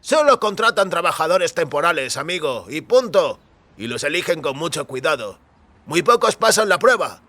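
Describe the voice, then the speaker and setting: An actor playing a chatty small-town taxi driver in an audio drama, wary but helpful, with an emphatic, confiding delivery. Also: male voice, fairly high pitch, big pitch swings, normal speed, sharp tone, full character voice. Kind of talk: audio fiction dialogue in Spanish.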